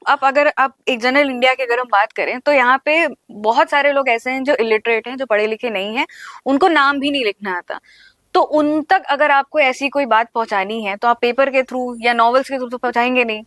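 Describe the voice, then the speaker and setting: Higher-pitched Indian woman in podcast conversation. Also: normal speed, fairly bright tone, no echo. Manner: agreeing, interjecting, mixes in English. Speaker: podcast co-host. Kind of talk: conversational discussion.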